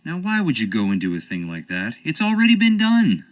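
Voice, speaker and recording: A man's voice: fairly high pitch, wildly swooping pitch, brisk. An actor playing a charming, evasive young stage actor with an American accent, wry and theatrical as he dodges questions. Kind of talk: video game voice acting.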